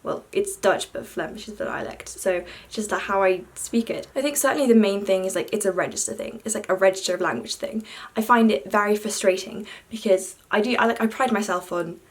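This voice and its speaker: Chatty young British woman vlogging. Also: mid-range voice. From Belgium. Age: young adult. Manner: chatty, fast, lots of "like" fillers. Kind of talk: unscripted rambling vlog.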